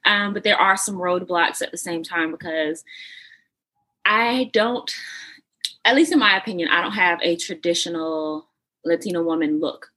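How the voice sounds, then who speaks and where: Brief: Texan woman, breathy edge to the voice, chatty guest in an interview, lively and easy laughs. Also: on the low side, normal speed, rough recording. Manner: lively and talkative, laughs easily. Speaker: guest, model and actress. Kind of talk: casual interview chat.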